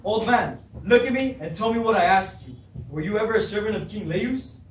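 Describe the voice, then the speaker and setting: Man as a proud troubled king, echoey, fairly high. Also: lively intonation, brisk. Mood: commanding. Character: proud, troubled king demanding the truth of his birth. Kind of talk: beginning drama class readers theatre. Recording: echoey room, distant mic, faint noise.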